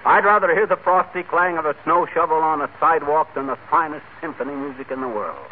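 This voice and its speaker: An actor playing a folksy, boastful, tall-tale-telling husband in 1940s radio comedy. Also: male voice, a little high, fast talker, natural voice. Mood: grandiose relish. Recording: noisy tinny vintage radio broadcast.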